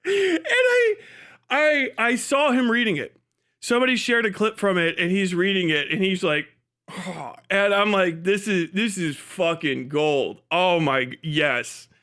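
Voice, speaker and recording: A male streamer with a high, bright, forceful voice. He talks casually to his viewers in an animated, mocking way and peppers his rambling with profanity. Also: a little slow. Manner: animated, mocking, swears freely. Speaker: live streamer. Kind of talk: casual livestream chat with profane ranting.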